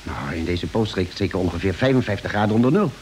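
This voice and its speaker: Concerned expedition doctor, swooping pitch. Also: male voice, lively intonation, quick pace, darkish tone, soft background music. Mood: calm, concerned. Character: steady, concerned male space-expedition doctor on Mars. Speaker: actor in a radio serial. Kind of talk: vintage Dutch radio drama acting. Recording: hissy vintage radio recording.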